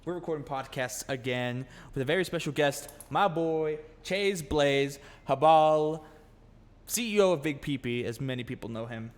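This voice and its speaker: A man's voice: on the high side, normal speed. A man hosting a casual podcast, joking and teasing his guest and swearing freely. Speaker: podcast host. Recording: long room reverb, faint noise.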